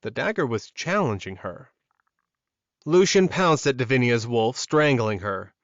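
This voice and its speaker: A man's voice: medium pitch, animated, measured pace, a bit bright, no room sound. A man reading scripted dramatic fiction as an audiobook narrator, recorded close in a dry space with a slightly dull sound.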